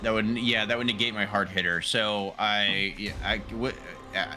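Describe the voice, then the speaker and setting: A man's voice: fairly low pitch, fairly even intonation, normal speed, over loud music. A man chatting casually as a player in a tabletop roleplaying game, thinking his moves through aloud.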